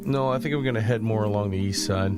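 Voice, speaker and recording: A man playing a guarded, easygoing young hiker who narrates his own journey in a low-key, conversational way. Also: fast talker, close to an everyday voice. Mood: firm, casual. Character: John, easygoing but guarded young man hiking long-distance. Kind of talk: first-person audio drama narration and dialogue. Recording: music behind the voice.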